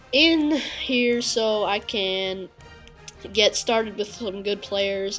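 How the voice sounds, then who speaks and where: Woman, congested and sniffly, easygoing game commentator, mid-range voice, faint music behind. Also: mid-range voice. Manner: casual, halting, many "uh" fillers. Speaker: gaming video creator. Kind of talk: casual gameplay commentary.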